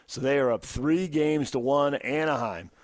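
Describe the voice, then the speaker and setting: A man with an American accent hosts sports talk radio in a conversational style. His voice sits at a medium pitch, recorded close and dry with very little room sound. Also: moderate pace, no echo.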